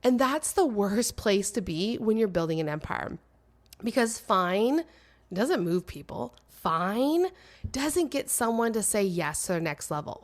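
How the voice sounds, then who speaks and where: Nasal, slightly crackly woman podcaster. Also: mid-range voice. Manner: earnest, emphatic, with dramatic pauses. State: a little crackly, congested voice. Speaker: podcast host. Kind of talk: personal solo podcast monologue.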